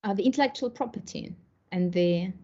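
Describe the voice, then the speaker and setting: A woman's voice: mid-range voice, a little fast, a little mellow, breathy edge, lo-fi sound. A woman with a Russian accent answering conference questions, hesitant, with many fillers and restarts. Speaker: academic presenter. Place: online conference.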